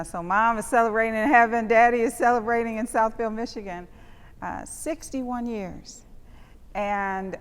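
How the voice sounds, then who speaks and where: A woman's voice: mid-range voice, clear, resonant tone. A woman hosting a panel discussion, speaking in a personal, emphatic way. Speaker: panel host. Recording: long hall reverb.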